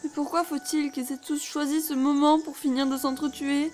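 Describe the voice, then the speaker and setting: A woman plays a female killer adventurer in an audio drama. She is plain-spoken and a little hesitant, in a highish voice over faint music. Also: level tone, normal speed, a little mellow, natural voice. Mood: exasperated, curious. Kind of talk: scripted amateur audio drama.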